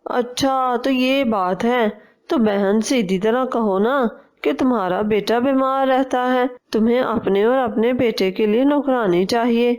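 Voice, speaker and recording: A greedy, harsh cartoon father bird, played by a woman in a fairly high voice. He talks slowly, with a blunt and scornful manner. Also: a little mellow, close to an everyday voice. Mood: sneering.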